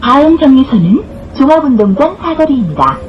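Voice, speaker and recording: A woman's voice: sits high, sing-song, a little fast, dull audio, a little hiss or hum. A woman reading scripted bus stop announcements in a formal, carefully enunciated way, recorded inside a bus. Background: bus interior ambience.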